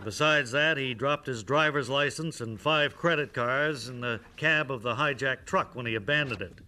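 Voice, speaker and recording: A man playing a gruff newspaper managing editor who scolds a reporter, in an old radio comedy sketch. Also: medium pitch, moderate pace, close to an everyday voice. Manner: scolding, blunt. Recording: old radio broadcast with hiss.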